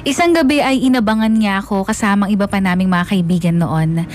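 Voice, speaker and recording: A woman's voice: mid-range voice, fairly quick. Female narrator, mid register, dramatised radio confession, code-switching, light music bed. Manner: mixes English into Filipino.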